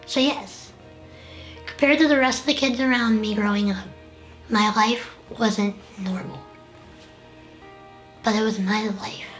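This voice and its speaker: A woman's voice: medium pitch, fairly even intonation, measured pace, pauses often, fairly bright tone, soft background music, rough recording. A young woman hosting a personal documentary, narrating and interviewing in an earnest, candid way. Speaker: documentary host with the condition.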